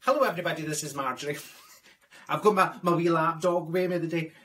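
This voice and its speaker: A man's voice: fairly high pitch, fast, over loud music, natural voice. A Scottish man voicing a chatty older widowed woman who rambles with dry asides, in a comic character monologue. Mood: gossipy.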